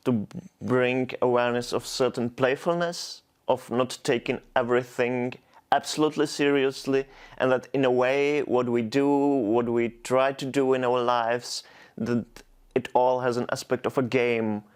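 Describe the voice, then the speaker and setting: A man's voice: mid-range voice, steady tone, a little slow, clean tone. A man with a Czech accent, Czech being his native language, answering as a poet in an interview. He speaks thoughtfully and hesitantly, often stopping to restart words. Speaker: poet, guest.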